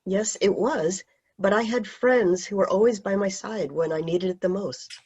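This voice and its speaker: A woman reading a teacher's part in a staged play reading over a video call, gentle and reassuring. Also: mid-range voice, normal speed. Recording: husky voice on dull lo-fi video call audio.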